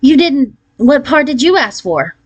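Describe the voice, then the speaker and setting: A woman's voice: high voice, sing-song, a little slow, lo-fi sound, pitched above the performer's own voice. A woman voicing an eager, self-assured fifth-grade schoolgirl in an emphatic, confident manner for a storybook. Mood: disbelieving, urging.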